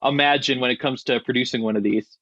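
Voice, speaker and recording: A man's voice: medium pitch, fairly even intonation, fast, fairly bright tone, dry recording, highs cut off. A man hosting a casual interview conversation as a podcast host.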